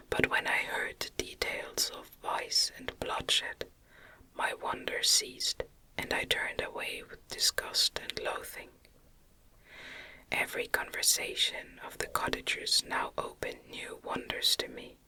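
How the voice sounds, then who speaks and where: A woman whispering a gothic novel as an ASMR reading, gently and deliberately, with soft articulation. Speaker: ASMR reader.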